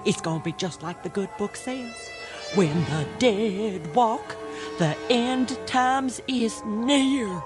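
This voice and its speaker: A woman's voice: mid-range voice, takes it slowly, music in the background, close to an everyday voice. An actress with a rural American accent playing a stern, superstitious, protective middle-aged mother in a radio drama. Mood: stern, foreboding.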